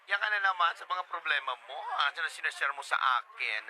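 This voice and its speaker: An actor playing a sassy, exasperated young friend and confidant in a radio drama, with a campy, exclamatory delivery. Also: male voice, fairly high pitch, lively intonation, fairly quick, very noisy, close to an everyday voice. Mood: concerned, exasperated. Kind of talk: Tagalog radio drama acting.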